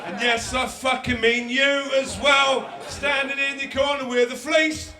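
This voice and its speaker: A man doing a stand-up set in a comedy club, sardonic and sweary, shouting punchlines at the crowd on a handheld stage mic. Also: extremely high pitch, fairly even intonation, a little slow. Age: 30s. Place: dark comedy club stage with live audience.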